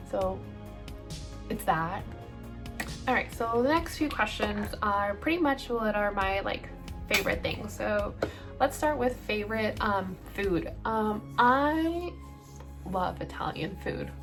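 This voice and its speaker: A woman in her late twenties, from El Salvador, chatting casually through a get-ready-with-me Q&A, rambling with lots of ums and likes. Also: mid-range voice. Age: late 20s. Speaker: beauty vlogger.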